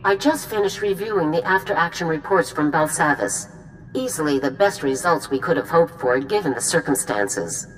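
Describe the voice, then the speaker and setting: A composed female general in a game cutscene. Her voice is low and her delivery formal and measured, over clear background music. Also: expressive, low-quality recording. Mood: approving. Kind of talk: video game cutscene acting.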